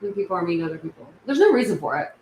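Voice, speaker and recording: A woman's voice: lowish voice, sing-song, racing, darkish tone, roomy sound, a little hiss or hum, low-quality recording. A young woman hosting a casual true crime livestream, rambling and chatty with many restarts. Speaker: YouTube true crime channel host.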